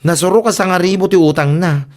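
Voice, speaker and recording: A woman's voice: lowish voice, quick pace, darkish tone, lightly acted. A woman with a Filipino Ilocano accent playing a grumbling neighbour who lends and chases debts, in a comedy radio drama. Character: grumbling female neighbour, lender chasing unpaid debts.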